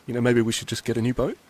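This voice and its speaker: A man acting a gentle, reassuring father on a battered boat in an audio drama, soft-spoken and hesitant. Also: mid-range voice, natural voice. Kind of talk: naturalistic audio drama dialogue.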